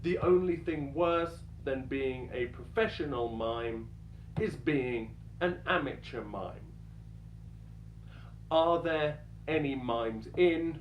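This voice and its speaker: Slow, deliberate deadpan man in a roomy space. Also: mid-range voice. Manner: deadpan, self-deprecating, deliberate pauses. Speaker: stand-up comedian. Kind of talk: dramatic reading of a stand-up routine. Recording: roomy echo with faint background noise.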